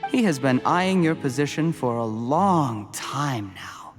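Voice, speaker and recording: An actor playing a cold, calculating official turned poisoner in a video game, gloating in measured, mockingly polite monologue. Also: male voice, medium pitch, expressive, soft background music. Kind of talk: scripted video game voice acting.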